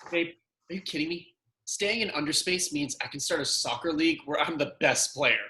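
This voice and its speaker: A man's voice: a little high, a little fast, pushed and bright. A man playing a devoted, boastful, easygoing boyfriend at a comedy script table read. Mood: eager. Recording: roomy, echoey sound.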